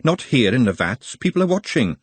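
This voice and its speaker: A man's voice: medium pitch, sing-song, brisk, husky, dry recording, pitched above the performer's own voice. A man voicing a protective young woman in an audiobook, blunt, wary and anxious.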